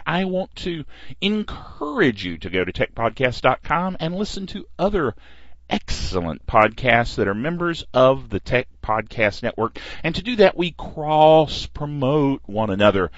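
Wry, animated podcast host. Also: male voice, mid-range voice, normal speed, no echo. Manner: lively, wry, chatty, reads listener email aloud. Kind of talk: casual podcast monologue. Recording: dull lo-fi podcast audio.

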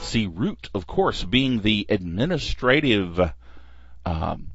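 Man, wry podcast host, bouncy lively delivery, muffled lo-fi sound. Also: fairly low pitch, slow pace, over music. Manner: lively, wry, chatty, reads listener email aloud. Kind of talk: casual podcast monologue. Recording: dull lo-fi podcast audio.

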